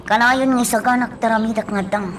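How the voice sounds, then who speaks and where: A woman's voice: fairly high pitch, fairly even intonation, fairly quick, background noise, close to an everyday voice. An actress playing a grieving, vengeful young woman in an emotional Ilocano radio drama. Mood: determined, vengeful. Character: grieving, vengeful young woman longing for her lost friend. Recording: loud music bed under the voice.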